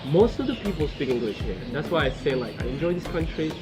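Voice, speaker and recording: A man's voice: on the high side, big pitch swings, fast. Somali-born man, confident interviewee, music bed. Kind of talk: unscripted interview answers.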